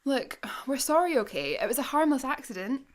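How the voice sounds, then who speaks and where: A woman's voice: high voice, sing-song, a little fast. A Scottish woman playing a laid-back, literal-minded space satellite employee in a sci-fi comedy radio play, dry and matter-of-fact.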